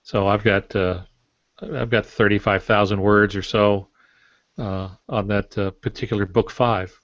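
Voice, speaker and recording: An older man, a novelist, talking casually on a writing podcast in a halting, matter-of-fact, anecdotal way. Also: fairly low pitch, steady tone, dry recording. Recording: dull lo-fi podcast audio.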